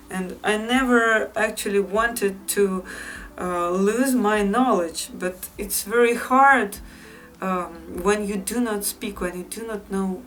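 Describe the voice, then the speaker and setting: Woman with a Russian accent, unhurried vlogging. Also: medium pitch, fairly bright tone, distant mic, rough recording. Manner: unhurried, repeats phrases, frequent fillers. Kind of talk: casual vlog to viewers.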